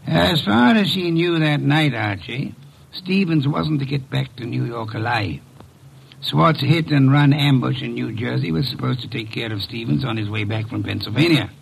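An actor playing an older, portly, pompous detective genius in old radio drama style, speaking formally and precisely with a gravelly, husky voice. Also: male voice, medium pitch, normal speed, slight background noise, rough recording. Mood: lofty, explaining.

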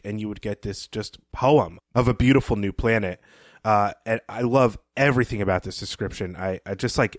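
A man co-hosting a book podcast, reading a passage aloud in a slow, measured way and talking about it casually. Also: lowish voice, no echo, clean studio sound. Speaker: podcast co-host.

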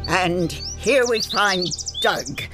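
An actress playing a haughty, easily irritated narrator, telling a comic fantasy tale with wry theatrical delivery. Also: female voice, lowish voice, animated, drawn-out words, music in the background. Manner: wry, imperious. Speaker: main narrator.

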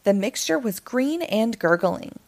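A woman reading a children's picture book aloud in an animated storytelling style. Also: fairly high pitch, measured pace.

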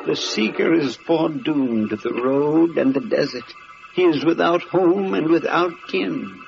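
Foreboding voice of prophecy, highish and very unhurried, for a radio play with dated muffled sound. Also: male voice, vocal fry. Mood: ominous. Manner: slow, solemn intoning. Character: ominous remembered voice intoning a prophecy of doom. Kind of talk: old-time radio drama acting. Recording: dull vintage radio recording over music.

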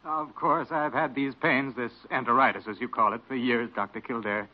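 An actor playing a plaintive, weary hospital patient in a 1940s radio drama. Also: male voice, mid-range voice, steady tone, moderate pace, soft background music, natural voice. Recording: dull old radio recording.

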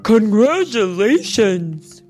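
A woman voicing a kind, helpful male bear in a storybook, earnest and drawn-out. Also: mid-range voice, sing-song, darkish tone, faint music behind. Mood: warm, sincere.

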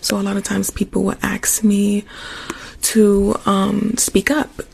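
Soft-spoken woman, slightly gravelly. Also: medium pitch, completely flat delivery, slow pace. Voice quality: naturally quiet, soft voice. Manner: chatty, answers viewer comments, trails into whispers. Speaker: ASMR livestream host. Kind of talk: casual live-stream chat with viewers. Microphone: close mic with tape on it. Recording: faint rustling noise from taped mic.

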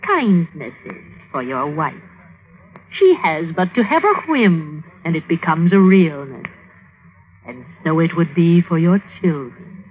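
Casting: older scheming matriarch, slow and imploring, swooping delivery, vintage radio drama on thin, noisy audio. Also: female voice, deep voice, sing-song, heavily acted. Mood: coldly tempting. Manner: theatrical, imploring, deliberate. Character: scheming, aggrieved noble mother urging murder. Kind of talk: 1950s radio drama acting. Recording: muffled, noisy old radio recording.

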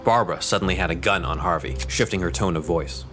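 A man narrating an audio drama in a steady storytelling delivery. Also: low voice, fast, over music.

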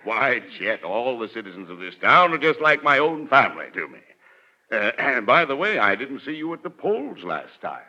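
An actor playing a middle-aged, glad-handing, scheming mayor with an American accent and pompous, wheedling patter, in 1940s radio comedy style. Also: male voice, mid-range voice, sing-song, normal speed, pushed and bright, full character voice. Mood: unctuous, glad-handing. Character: glad-handing, scheming small-town mayor, unctuous and blustery. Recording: muffled vintage 1940s radio recording.

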